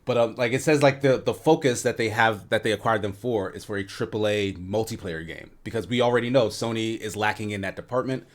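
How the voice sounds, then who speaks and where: Male podcast panelist, slightly low register, informal, conversational speech about games. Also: fairly quick, hardly any pauses. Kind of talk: casual chat.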